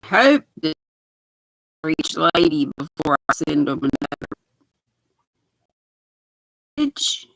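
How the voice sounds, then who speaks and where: An older woman with a raspy voice, chatting folksily with her livestream viewers while she sews. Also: low-pitched, very animated, a little slow, a bit bright, no room sound, rough recording. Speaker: knitting livestream host. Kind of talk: casual live chat while sewing.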